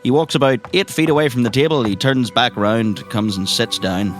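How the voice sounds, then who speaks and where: A man's voice: medium pitch, normal speed, faint music behind. A man narrating an improvised tabletop game as game master, conversational and wry.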